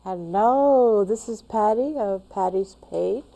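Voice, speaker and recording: A woman hosting a local talk show, asking simple questions slowly and hesitantly. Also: medium pitch, creaky, warm tone, soft background music, low-quality recording. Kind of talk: interview hosting.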